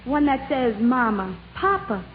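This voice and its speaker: A woman's voice: fairly high pitch, sing-song, measured pace. A woman trading scripted comedy banter on a 1950s radio show. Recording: tinny, noisy radio broadcast, audience.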